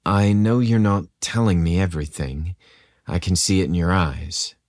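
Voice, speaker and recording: A man voicing a tender, excitable man in love in a romantic audio story, earnest and emotional. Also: low voice, normal speed, no echo, close to an everyday voice. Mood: soft concern.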